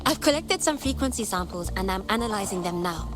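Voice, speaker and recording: A woman acts a diligent female researcher in a video game. She explains in an orderly way at a medium pitch. Music plays clearly behind her. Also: animated, a little fast, lightly acted. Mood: informative, friendly. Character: diligent female researcher reporting frequency data.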